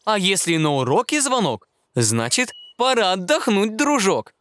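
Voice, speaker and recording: A man's voice: sits high, sing-song, a little slow, a bit bright, no echo, heavily acted. A man voicing a self-important female magpie from a children's storybook, chattering and lecturing. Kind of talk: storybook character voice.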